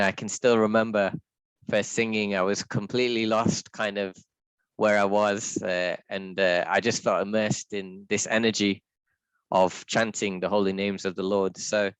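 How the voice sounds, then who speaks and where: A man with a deep voice leading a reflective scripture reading, talking in a thoughtful, halting way with restarts. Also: clear, resonant tone, no room sound, poor audio. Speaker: scripture class leader.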